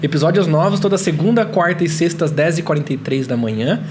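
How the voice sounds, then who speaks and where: Brazilian guy hosting a podcast, voice a bit high, jokey polite, faint noise behind him. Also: fast, a little mellow. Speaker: podcast host. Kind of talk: casual podcast interview.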